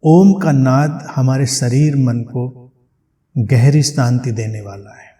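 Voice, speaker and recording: A man teaches meditation in a very slow, relaxed voice. His pitch sits in a middle range for a man. He gives gentle instructions in short phrases, leaving plenty of space between them. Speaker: meditation teacher leading a guided session.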